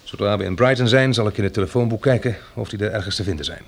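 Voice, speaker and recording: A man's voice: fairly low pitch, rapid-fire, vocal fry. An actor playing a practical husband and father surviving a catastrophe in a Dutch radio drama, with a dry, matter-of-fact way of talking. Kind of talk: Dutch radio drama acting, diary narration and dialogue.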